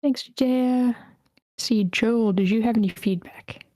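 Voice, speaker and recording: Casting: female moderator, relaxed mellow timbre, fairly high, informal. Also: slow, no room sound. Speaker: host. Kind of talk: casual meeting moderation. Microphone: online meeting call.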